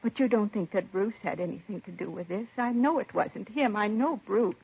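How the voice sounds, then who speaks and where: Husky, stoic mother, vintage radio. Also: mid-range voice, moderate pace. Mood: agitated. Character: composed middle-aged mother of a slain son, stoic. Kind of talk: 1950s radio crime drama acting. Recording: vintage 1950s radio, narrow husky sound.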